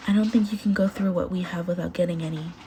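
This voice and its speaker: Casting: soft, soothing teen girl, read by a female narrator, caring, understated audiobook voice. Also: on the low side, fairly even intonation, fast, slight background noise, lightly acted. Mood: quiet, comforting. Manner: quiet, soothing. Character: quiet, caring teenage girl comforting her friend.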